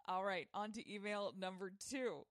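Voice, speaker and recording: A woman's voice: mid-range voice, moderate pace. A woman hosting a podcast, chatting and explaining things in a conversational commentary style. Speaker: podcast host.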